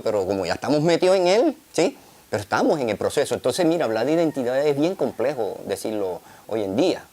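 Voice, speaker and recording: Rambling Caribbean Colombian man, lively pitch. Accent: Colombian Caribbean Spanish. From Colombian Caribbean coast. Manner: rambling, many fillers and tag questions. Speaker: interviewee. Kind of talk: documentary interview.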